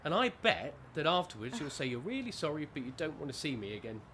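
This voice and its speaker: Plaintive, lively-pitched, naive man, played by an actor. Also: fast, slight background noise. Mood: hurt, accusing. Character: glum, naive man under his mother's thumb. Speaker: actor in a radio play. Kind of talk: naturalistic radio comedy acting.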